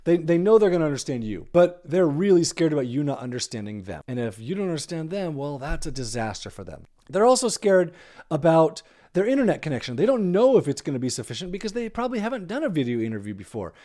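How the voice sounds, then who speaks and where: A man's voice: on the high side, sing-song, fast talker, mellow. An American man hosting a podcast, giving conversational advice in an emphatic way, repeating key phrases for stress. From United States. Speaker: podcast host.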